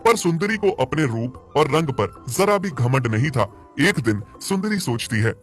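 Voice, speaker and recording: A man's voice: medium pitch, big pitch swings, unhurried. A man narrating a scripted animal fable for a cartoon moral story. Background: music.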